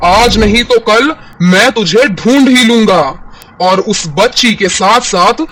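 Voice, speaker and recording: A man voicing a menacing, vengeful man-eating demon, threatening and deliberate, in a cartoon fairy tale. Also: high voice, a bit bright, heavily acted, poor audio. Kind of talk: animated fairy-tale cartoon villain acting.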